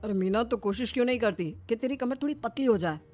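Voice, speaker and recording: A woman's voice: on the high side, expressive, quick pace, darkish tone, soft background music, lightly acted. A woman voicing a brusque, belittling husband and father in a cartoon story. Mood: critical. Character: dismissive, thin husband and father, scolding.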